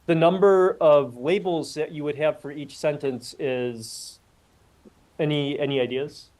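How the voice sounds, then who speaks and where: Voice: medium pitch, fairly even intonation, measured pace, a little mellow. A university lecturer giving a recorded class and patiently walking students through the idea, with questions along the way.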